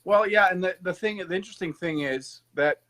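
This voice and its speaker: A man's voice: sits high, brisk, bright, forceful tone. A man interviewing a guest in a conversational interview, emphatic and opinionated.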